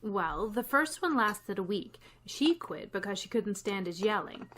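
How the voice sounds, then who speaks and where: Woman doing a wry put-upon teacher in a radio comedy, swingy pitch, bit of noise and clicks. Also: very animated, a little slow, a bit bright. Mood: wry complaint. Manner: dry, wisecracking delivery. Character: wry, long-suffering female high school English teacher. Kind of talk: old-time radio comedy acting.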